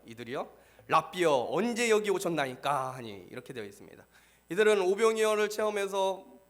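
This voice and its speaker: A man preaching a sermon as pastor, hoarse from a cold, reading scripture and then explaining it earnestly. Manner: earnest, rises in pitch and force when stressing a point. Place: church.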